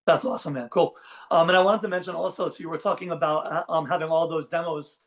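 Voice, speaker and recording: A man interviews a musician, asking questions and backing him up with steady "yeah"s and "awesome"s. His voice is a little higher than most men's. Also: quick pace. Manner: encouraging, frequent "yeah" backchannels. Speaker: interviewer, host.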